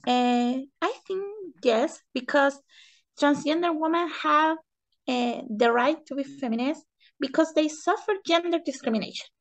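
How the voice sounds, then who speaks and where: A woman from Chile with a Chilean Spanish accent, giving interview answers in halting non-native English and searching for words. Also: high voice. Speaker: interview guest, economist and feminist.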